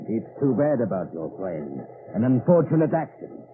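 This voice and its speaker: An actor playing a sly German army officer in an old-time radio drama, expansive and mock-polite. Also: male voice, medium pitch, very animated, normal speed, natural voice. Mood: smug menace. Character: sly, tipsy-seeming German army officer, genial yet menacing. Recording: noisy, tinny old radio recording.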